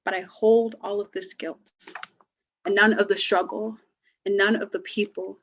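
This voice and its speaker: A teenage girl reciting her own spoken word poem slowly and deliberately. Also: mid-range voice, a bit bright, dull audio. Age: teens. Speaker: youth poet. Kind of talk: spoken word poetry reading.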